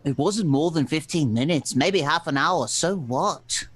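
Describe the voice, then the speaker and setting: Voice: medium pitch, unhurried, lightly acted. A performer voicing a defiant, surly young man under suspicion in an old-time radio crime play, curt and tough-talking.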